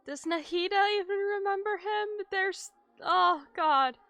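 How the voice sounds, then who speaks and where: A woman livestreaming a game with casual, expressive commentary full of swearing and exaggerated dismay. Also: very high voice, a little slow, vocal fry. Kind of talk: casual gaming commentary with read-aloud game text. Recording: game music faintly behind. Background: video game music.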